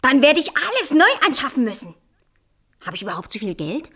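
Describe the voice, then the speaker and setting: Casting: spoiled young princess for radio fairy tale; very high, swooping, naive and exclamatory. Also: female voice, sing-song, a little fast, a bit bright, breathy edge, full character voice. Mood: spoiled, bossy. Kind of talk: German radio play fairy-tale acting.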